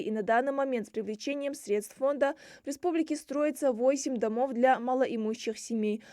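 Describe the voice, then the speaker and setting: A woman reporter reading a news report in a steady, formal manner. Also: high-pitched, no room sound, studio quality.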